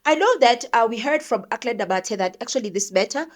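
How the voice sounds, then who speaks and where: A woman's voice: a little high, lively intonation, brisk. A South African woman giving opinionated, conversational news commentary, thinking aloud and posing rhetorical questions. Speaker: commentator, show host.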